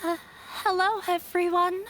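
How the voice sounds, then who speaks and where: Shy, very high-voiced young woman. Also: level tone, unhurried, fairly bright tone, a little hiss or hum. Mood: nervous. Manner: hesitant. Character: shy, timid young female novice dancer. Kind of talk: video game voice acting.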